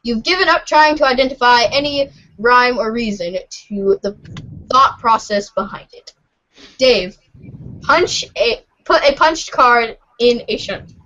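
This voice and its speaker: A woman's voice: a little high, animated, unhurried, a bit bright. A woman reading webcomic narration aloud in an informal, giggly way for a fan podcast. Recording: dull lo-fi voice recording.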